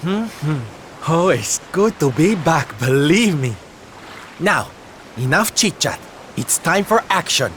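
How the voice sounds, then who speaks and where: A flamboyant, theatrical showman speaks in a game cutscene, dramatic and calling his friend by pet names. His pitch swoops widely while he takes his time over the words. Surf and waves wash loudly behind him. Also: animated, slow, slight background noise. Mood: resolved. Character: flamboyant theatrical male entertainer, adult. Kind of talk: video game cutscene voice acting.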